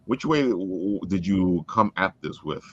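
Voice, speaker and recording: Deep-voiced man, halting, slightly muffled. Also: animated, no room sound. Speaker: interviewer and fellow writer. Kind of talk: casual podcast interview chat. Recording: dull, close, lo-fi call audio.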